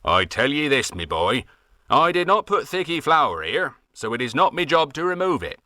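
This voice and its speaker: A man's voice: on the high side, sing-song, normal speed, sharp tone, no room sound, studio quality, pitched above the performer's own voice. A man voicing an old West Country farmer who rambles on in folksy dialect with a West Country accent, grumbling at red tape. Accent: West Country English. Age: older.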